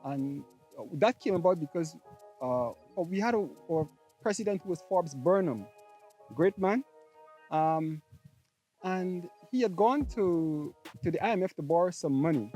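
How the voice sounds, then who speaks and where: A man's voice: high-pitched, dark tone, low-quality recording. A man from Guyana, telling stories in a casual chat with many hesitations. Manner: hesitant, many ums, laughs easily. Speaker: guest. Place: outdoors on a farm.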